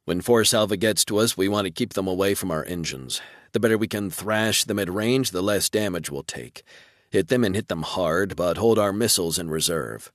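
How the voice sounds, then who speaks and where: Resolute low-voiced starship commodore, read by a man. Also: moderate pace, no echo, studio quality, lightly acted. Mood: firm, commanding. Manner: clipped military orders. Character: male starship commodore, resolute, commanding, terse.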